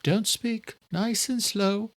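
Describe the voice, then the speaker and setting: A man voicing a gentle woman who soothes a patient in an audiobook thriller. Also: sits high, slow, dry recording, voice pushed up. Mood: soothing.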